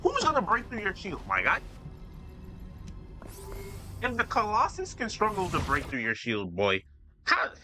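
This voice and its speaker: A man's voice: high voice, huge pitch swings, a little slow, long pauses, over music. A young man casually commenting over his gameplay, slangy and loose, talking half to himself. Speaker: gaming streamer. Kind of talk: casual let's-play commentary.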